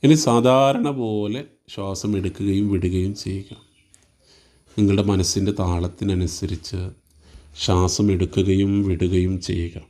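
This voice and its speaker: Meditation guide: Malayali man, lowish pitch, very soft dark tone, slow soothing instructions, spaced phrases. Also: steady tone.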